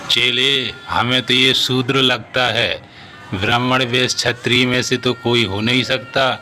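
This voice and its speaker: Slow, bright-voiced pompous old guru played by a man. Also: mid-range voice, a little hiss or hum. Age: older. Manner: pompous, slow, instructing a disciple. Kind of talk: cartoon story dialogue.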